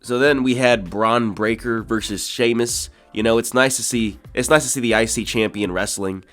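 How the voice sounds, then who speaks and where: A man's voice: medium pitch, steady tone, moderate pace, a bit bright, faint music behind. A young man co-hosting a casual wrestling chat, recapping in a wry, giggly way. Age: young adult. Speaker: co-host.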